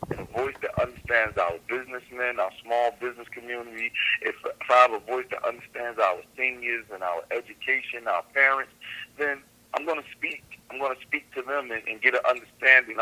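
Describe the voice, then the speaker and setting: A man's voice: mid-range voice, pushed and bright, poor audio. A man, a council candidate guest on a radio show, speaking earnestly and repeating phrases for emphasis. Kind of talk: radio interview answer.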